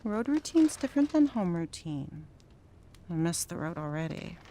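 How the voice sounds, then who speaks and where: An actress playing a stubborn, sarcastic wife who needles and bickers in a naturalistic period radio drama. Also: female voice, fairly low pitch, sing-song, normal speed, mellow, a little hiss or hum. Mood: needling, irritated. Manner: sarcastic, blunt, teasing.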